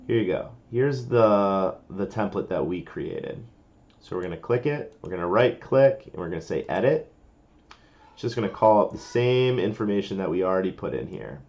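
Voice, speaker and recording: Male tutorial presenter, lowish pitch, informal and explanatory, walking through steps, on a somewhat muffled lo-fi recording. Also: lively intonation, moderate pace, darkish tone. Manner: informal, explanatory, talking through each step. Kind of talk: casual step-by-step screen-recording tutorial.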